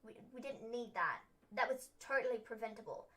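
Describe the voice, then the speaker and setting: Young woman host, bright, fairly high voice, rambly and opinionated. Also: animated, a little fast. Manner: rambling, opinionated, many fillers. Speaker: wrestling livestream host. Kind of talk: casual recap chat.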